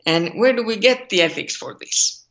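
A woman's voice: medium pitch, lively intonation, moderate pace, fairly bright tone, muffled recording. A woman from Finland with a Finnish accent, an ethics scholar thinking aloud in an interview and asking rhetorical questions. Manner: thoughtful, searching, asks rhetorical questions. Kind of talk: interview over a call.

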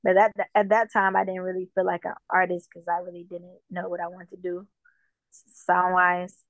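A woman from Jamaica talks casually as an interview guest, relaxed and full of fillers. Her voice sits low and stays fairly flat. The recording is dull and dry, with little room sound. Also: moderate pace, no room sound. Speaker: musician guest.

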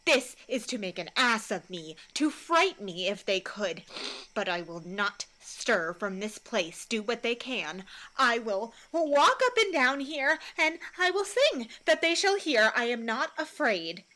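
A woman's voice: on the high side, sing-song, measured pace, pushed and bright, full character voice, low-quality recording. A woman playing a boastful, stubborn male weaver with theatrical declamation in a Shakespearean audio drama. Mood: defiant.

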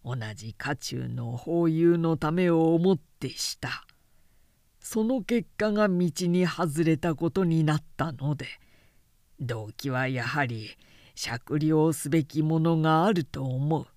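A woman voicing a grave, patient old lord who speaks in a measured, hushed, soothing manner in a story reading. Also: low voice, expressive, dry recording, studio quality, pitched below the performer's own voice, full character voice.

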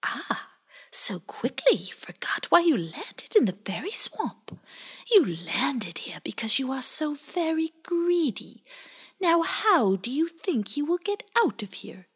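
A woman's voice: high-pitched, sing-song, takes it slowly, voice pushed up, full character voice. A woman whispering as a mysterious swamp fairy. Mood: stern. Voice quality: hushed unvoiced whisper.

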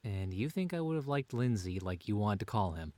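A man's voice: fairly low pitch, a little fast, dry recording. A man playing a long-suffering husband and father who banters drily in a scripted audio comedy drama. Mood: bemused. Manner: dry, deadpan, bantering.

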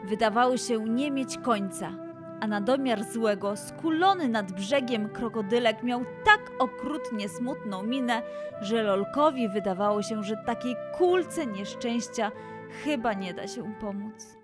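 A woman narrating a scripted children's story in an expressive, dramatic way. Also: fairly high pitch, measured pace. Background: music.

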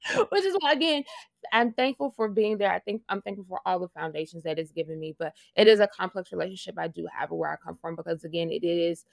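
A woman with a Louisiana Southern accent talks in a lowish voice, reflective and earnest, often laughing and starting her phrases over. The recording is close and dry, with no room sound. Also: animated, fast talker, gravelly, dry recording. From Louisiana, USA. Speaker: interview guest, fashion project manager. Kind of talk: casual conversational interview.

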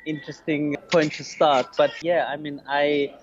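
A man in his forties from Bangladesh, a podcast guest telling his story in a relaxed, hesitant way. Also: on the high side, moderate pace. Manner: hesitant, restarts, many "you know"s. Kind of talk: casual interview answers. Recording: lo-fi muffled sound.

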